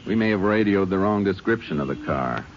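A deep, steady Texas Ranger. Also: male voice. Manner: calm, measured, matter-of-fact. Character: steady Texas Ranger, calm lawman narrator. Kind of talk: 1950s radio drama narration and acting. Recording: dull lo-fi old radio recording with music.

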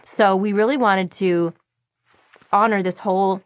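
A woman's voice: mid-range voice, steady tone, unhurried, no room sound. A woman voice actor, a guest in a casual interview, talking enthusiastically and swearing now and then.

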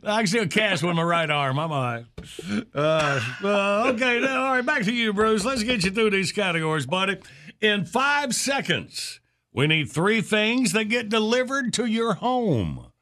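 A man's voice: high-pitched, big pitch swings, unhurried, sharp tone. A man hosting a morning radio show, bantering and laughing often. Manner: laughing, playful.

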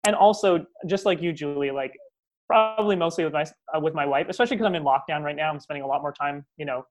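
A man's voice: fairly high pitch, fast. Man explaining in a coaching tone. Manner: explanatory, coaching tone with many restarts and tag questions. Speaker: guest speaking on communication. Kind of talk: casual interview conversation.

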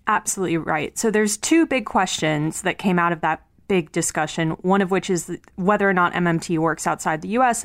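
A woman co-hosting a podcast, introducing a guest in a brisk, upbeat chat. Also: on the low side, dry recording, studio quality. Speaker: podcast co-host. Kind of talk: casual chat.

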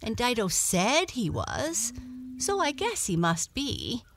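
A woman's voice: on the high side, huge pitch swings, slow, fairly bright tone, a little hiss or hum, pitched above the performer's own voice, full character voice. A woman voicing a timid, tired young female cat in a children's storybook, polite and pleading. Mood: sad, meek.

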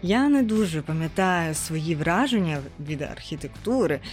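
A woman's voice: lowish voice, expressive, normal speed. A woman narrates a personal audio essay in a reflective storytelling way. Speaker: podcast narrator. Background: background music.

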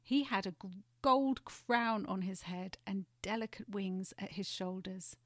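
Woman, storybook narrator, gentle expressive delivery, mid pitch, dry close sound. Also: a little slow, no echo. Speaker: guest storyteller.

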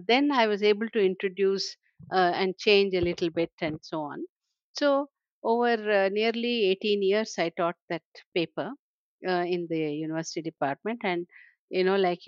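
An older woman with an Indian English accent, talking reflectively as a guest in an interview, with many "you know"s and soft laughs. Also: medium pitch, no room sound, lo-fi sound. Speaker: translator and scholar guest.

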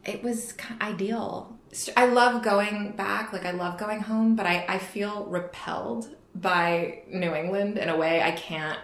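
A woman's voice: mid-range voice, steady tone, measured pace, few pauses. A woman author from Connecticut, interviewed at her home, talking in a rambling, hesitant way with self-deprecating asides. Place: her apartment, indoors. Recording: roomy echoey apartment.

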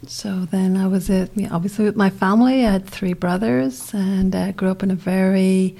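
A woman with an Irish accent, a podcast guest who reflects softly on her upbringing and sometimes drops to near whispers. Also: medium pitch, steady tone, normal speed, vocal fry. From Ireland. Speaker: interview guest. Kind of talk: reflective conversation.

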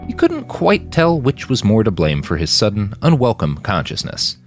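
A man reading dramatic fiction aloud in an expressive, emphatic storytelling manner. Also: lowish voice, moderate pace, soft background music. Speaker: author narrating own fiction. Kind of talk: dramatic audiobook narration.

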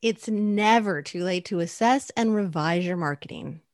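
A woman co-hosting a podcast who delivers prepared points in a steady, earnest way. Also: on the low side, normal speed, dry recording, studio quality. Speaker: podcast co-host. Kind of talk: scripted podcast chat.

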